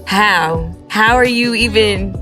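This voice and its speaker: A woman singer with a naturally deep voice and a light rasp, chatting casually and relaxed as an interview guest, with plenty of fillers. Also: slow pace, music in the background.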